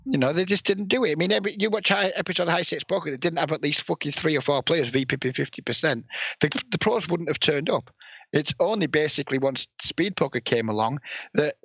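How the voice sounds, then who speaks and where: A man with a northern English accent and a raspy voice, a blunt, heavily swearing guest in a casual podcast interview who sniffs and coughs. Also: fairly high pitch, fast talker, a bit bright, no room sound. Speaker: poker player and coach, guest. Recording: dull lo-fi, dry sound.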